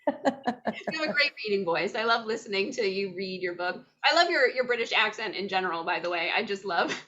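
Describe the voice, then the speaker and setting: Bright, gushing woman host. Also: medium pitch, expressive, a little fast, highs cut off. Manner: gushing, enthusiastic. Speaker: book club host. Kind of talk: casual chat, interviewing.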